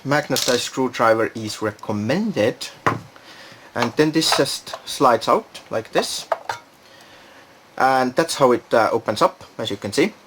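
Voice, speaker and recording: Guy doing an offhand product review, kinda unsure, thinking out loud, bit of background noise. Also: mid-range voice, lively intonation, slow. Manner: hesitant, thinking aloud. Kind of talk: casual unscripted product demonstration.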